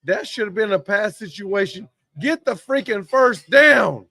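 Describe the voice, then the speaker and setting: A hoarse man hosting a casual sports talk show from a sports bar, rambling and getting worked up over play calls. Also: sits high, animated, unhurried, pushed and bright, dry recording. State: hoarse from yelling. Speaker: host.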